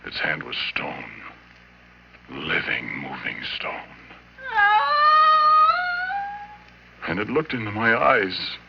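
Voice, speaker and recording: An actor playing a haunted, obsessed former oil driller in a 1940s radio horror play, brooding and halting, menacing yet tender. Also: male voice, low voice, big pitch swings, vocal fry, exaggerated voice. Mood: haunted awe. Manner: slow, halting, brooding delivery. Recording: dull, noisy 1940s radio recording.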